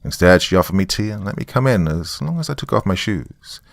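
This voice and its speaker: A man's voice: sits low, expressive, a little fast, creaky, no echo, studio quality. A man playing a weary, frightened writer who narrates a horror story in musing, wry asides. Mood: wry, reminiscing. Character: weary, frightened male writer recording a confession on tape.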